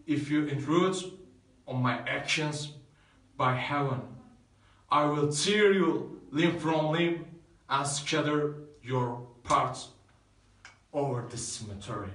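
An actor playing a desperate, grieving young lover, moving between pleading shouts and hushed sorrow, in an echoey room from a distance. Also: male voice, medium pitch, a little slow, close to an everyday voice. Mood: commanding. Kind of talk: modern-language play reading.

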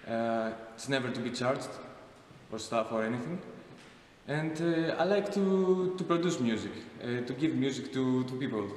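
A man's voice: medium pitch, expressive, normal speed, a little mellow. Young man talking in a very echoey hall. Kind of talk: casual testimonial to camera. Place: indoor art gallery. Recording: very echoey hall, distant mic, faint noise.

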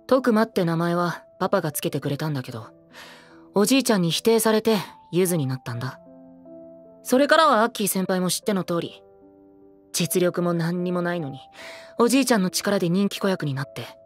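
A man's voice: high voice, big pitch swings, a little fast, soft background music. A man playing a bitter, self-deprecating teenage boy idol and former child star in a Japanese audio drama. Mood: melancholy, resigned. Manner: cheeky, self-deprecating, theatrical.

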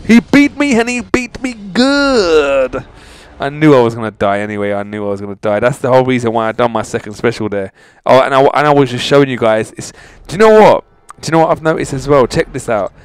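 A man's voice: mid-range voice, lively intonation, fairly quick, clean tone, no room sound. A man hosting a gaming channel, chatting casually and in exasperation to his viewers as he comments on his play. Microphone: voice-over over mobile game footage.

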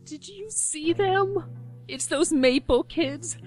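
Frantic, high-pitched paranoid woman, acted out. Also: slow, darkish tone, soft background music. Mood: frantic, accusing. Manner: agitated, insistent. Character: frantic, wild-eyed woman, paranoid and accusing. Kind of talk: radio play acting.

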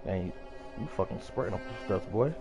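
A man's voice: fairly low pitch, fairly quick, a little mellow. A man with a slightly scratchy morning voice giving casual, profane let's play commentary to viewers. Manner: slangy, profane, talking to viewers. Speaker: video game streamer. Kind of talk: casual let's play commentary with slangy banter, reading dialogue aloud. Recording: game music behind the voice.

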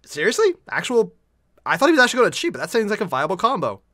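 Expressive young man reacting, swinging pitch. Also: racing. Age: young adult. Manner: excitable, exclamatory, profane. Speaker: reaction video host. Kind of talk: casual reaction commentary. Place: small furnished room at home, indoors.

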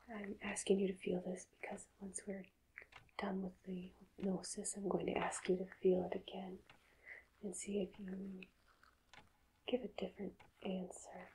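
A woman whispering softly and breathily, playing a doctor in an ASMR roleplay with slow, deliberate phrasing and pauses. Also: mid-range voice, little pitch movement, vocal fry, slight background noise, poor audio.

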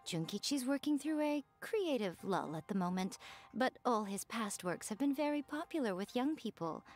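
A woman voicing a sly, elegant fox-spirit woman who runs a publishing house, with a smooth, teasing, self-assured manner. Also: on the high side, expressive, moderate pace, a little mellow, soft background music, lightly acted. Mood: poised. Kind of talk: video game voice acting.

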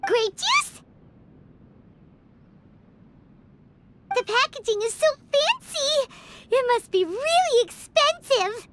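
A woman voicing a tiny, excitable childlike fairy companion in a video game, squeaky and cheeky. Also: a little slow, very noisy, exaggerated voice. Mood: delighted, excited. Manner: bubbly, refers to herself in third person. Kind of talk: video game character dialogue. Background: prominent background music.

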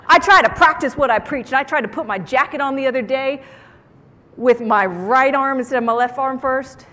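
A woman giving a motivational keynote talk to an audience on stage, with an animated, theatrical delivery and playful emphasis. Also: high voice, moderate pace. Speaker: keynote speaker. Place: large indoor conference room, on stage. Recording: echoey conference hall sound.

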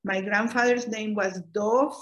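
A woman's voice: medium pitch, fairly even intonation. Middle-aged Costa Rican woman recalling family history. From Costa Rica. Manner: unhurried, frequent "eh" hesitations and self-corrections. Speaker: oral history interviewee. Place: home room, indoors. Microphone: video call webcam.

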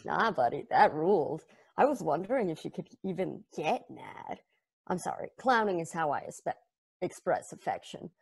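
A woman reading the part of a brash, foul-mouthed space probe at a video-call table read, with a raspy voice and crude, mocking delivery. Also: lowish voice, sing-song, normal speed, exaggerated voice.

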